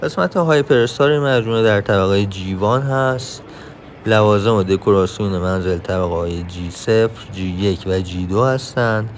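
Low-voiced Iranian man narrating, noisy recording. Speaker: vlogger and tour guide. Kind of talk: informational travel vlog narration.

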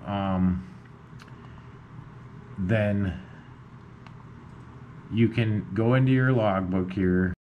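A man's voice: fairly low pitch, muffled recording. A man giving a casual screen tutorial, informal and a bit hesitant as he thinks aloud. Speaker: amateur radio video host. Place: indoors at a computer. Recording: clearly noisy room recording.

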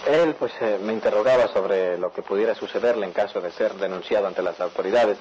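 A man's voice: fairly low pitch, a little fast, darkish tone, natural voice, low-quality recording. An actor playing an anxious, polite young man in a radio soap, speaking hesitantly and deferentially. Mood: nervous, polite. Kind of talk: radio soap opera acting. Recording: old radio drama, faint noise.

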